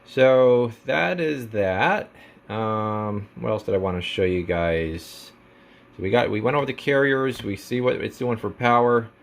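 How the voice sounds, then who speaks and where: A man with a fairly low voice presents gear in a casual demonstration. He explains things step by step as a technician, informally and with plenty of shop jargon. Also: a little slow, gravelly. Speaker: radio technician presenting a radio for sale.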